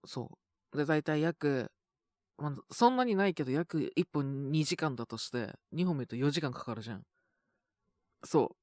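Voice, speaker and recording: A man with a sore, scratchy throat and a cough, chatting casually and intimately in soft, hesitant murmurs as if to someone beside him. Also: a little high, animated, normal speed, no echo, clean studio sound. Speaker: voice actor making situation-voice videos. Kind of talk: intimate casual chat to an unheard partner.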